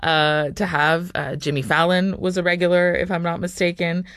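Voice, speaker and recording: An interview host with a conversational, encouraging way of putting halting questions. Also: medium pitch, little pitch movement, a bit bright, smooth, no room sound, clean studio sound.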